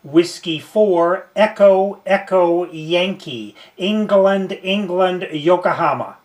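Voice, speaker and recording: A man working a ham radio contact, slowly spelling his call sign in phonetics. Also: high-pitched, slight background noise. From United States.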